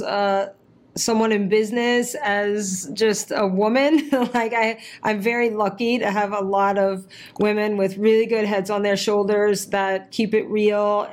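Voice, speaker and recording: A middle-aged mother answering interview questions over a video call, measured and full of fillers. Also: medium pitch. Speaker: guest, parent.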